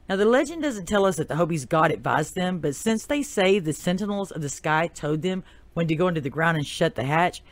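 A host with a Texas accent, reading a prepared narration in a steady storyteller's delivery with occasional asides, recorded on a new microphone. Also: medium pitch, no echo. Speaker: podcast host. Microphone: new desktop microphone.

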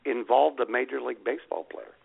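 Gravelly, husky man over a phone line. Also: mid-range voice, steady tone, fast talker. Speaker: co-host. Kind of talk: casual radio chat.

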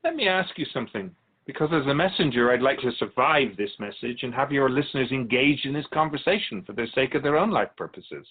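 A man acting as an otherworldly keeper of souls in a radio play. He is solemn and patient, explaining things in measured, philosophical sentences at a middling pitch. The sound is dull and boxed-in, with little top end. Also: animated, close to an everyday voice. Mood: probing, earnest. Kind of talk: radio drama interview acting. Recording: dull lo-fi audio.

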